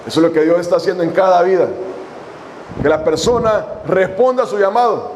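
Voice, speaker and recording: A man preaching a sermon as a pastor, reading scripture slowly and addressing his congregation with long pauses. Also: high voice, darkish tone, a little hiss or hum. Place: church hall.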